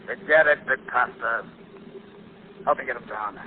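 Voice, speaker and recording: A man's voice: medium pitch, unhurried, close to an everyday voice. An actor playing a flamboyant, boastful washed-up comedy director in an old American radio drama, talking like a slangy showman. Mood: uneasy, curt. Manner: theatrical, slangy, chuckling showman. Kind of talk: 1940s radio acting. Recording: tinny, noisy old 1940s radio broadcast.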